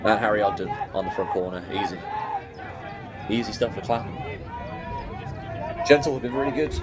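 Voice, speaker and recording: A man giving live play-by-play commentary on a match, steady and informative as he reads each move. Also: lowish voice, normal speed. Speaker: sports commentator. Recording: loud crowd behind commentary.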